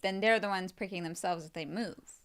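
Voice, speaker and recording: Female co-host, smooth clear voice, easygoing chatter with frequent restarts. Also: fairly low pitch, fairly quick, a bit bright. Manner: hesitant restarts, laughs easily, agreeable. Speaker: podcast co-host. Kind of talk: casual spoiler chat.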